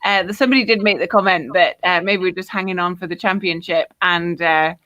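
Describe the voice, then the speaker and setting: A woman's voice: lowish voice, a little fast, fairly bright tone, highs cut off. A woman with a posh Geordie accent hosting a football podcast, chatting casually and reading out viewer comments with many fillers. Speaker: podcast host.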